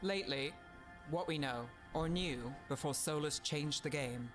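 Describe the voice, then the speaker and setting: Male investigator, slightly high voice, dry, skeptical, matter-of-fact, fantasy video game, light music. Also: unhurried, a bit bright. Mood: wry, thoughtful. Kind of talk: video game cutscene acting.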